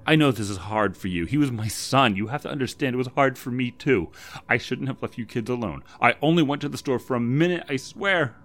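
A man voicing a soft-spoken middle-aged mother who pleads and apologizes gently. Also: fairly low pitch, expressive, normal speed. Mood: pleading.

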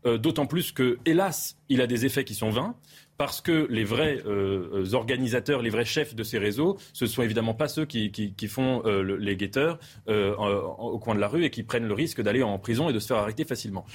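A man's voice: mid-range voice, fairly quick. A man speaking as a guest in a studio debate, emphatic, often restarting his phrases. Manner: emphatic, with many restarts and hesitations. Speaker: panel guest.

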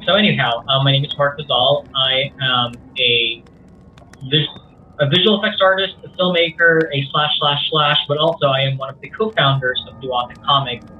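A man in his thirties whose first language is Bisaya, speaking English in a quick, chatty way as a guest on an interview podcast. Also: mid-range voice, narrow, tinny audio, a little hiss or hum. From Philippines, grew up partly in the Middle East. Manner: quick, chatty, self-correcting. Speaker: guest, visual effects artist and comics co-founder. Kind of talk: casual interview talk.

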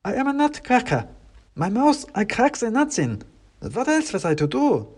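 A man's voice: extremely high pitch, wildly swooping pitch, normal speed, voice pushed up, full character voice. A man voicing a gloomy male wooden nutcracker soldier who speaks in stiff, clipped phrases with odd word order.

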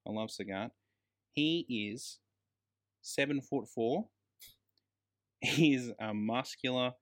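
A man's voice: medium pitch, fairly bright tone. A man co-hosting a casual film review chat, emphatic and slangy, with plenty of swearing. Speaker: podcast co-host.

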